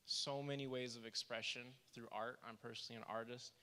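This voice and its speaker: A soft-spoken man leads a church workshop, his voice bright and crisply resonant. He leans into each quoted line with earnest weight. The sound carries the long reverb of a church hall. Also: medium pitch, steady tone, a little fast. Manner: earnest, reads slide quotes aloud with emphasis. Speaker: therapist presenting a session.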